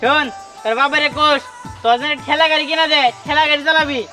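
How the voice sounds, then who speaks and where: A woman's voice: high-pitched, fairly even intonation, fast, pushed and bright, faint music behind. A woman playing a teasing, pushy village youngster in an amateur comedy skit.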